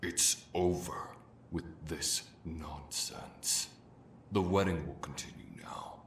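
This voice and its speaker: An actor playing a stern, domineering older king and father in an audio drama, with a gravelly, commanding, menacing voice. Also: very deep voice, takes it slowly, a little hiss or hum, exaggerated voice. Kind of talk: audio roleplay drama acting.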